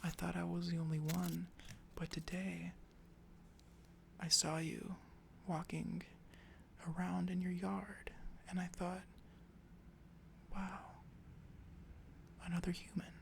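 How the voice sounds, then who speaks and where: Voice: medium pitch, little pitch movement, slow, vocal fry, pauses often, a little hiss or hum. A whispering storyteller voicing a little neighbor boy who is cheeky at first and then friendly.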